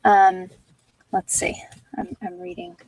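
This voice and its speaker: A woman's voice: on the low side, flat delivery, slow, darkish tone, slightly rough, no echo, distant mic, low-quality recording. A middle-aged American woman chatting casually and candidly to her viewers, rambling from one thought to the next. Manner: rambling, candid, digressive storytelling. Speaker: vlogger chatting with live viewers. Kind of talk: casual chat.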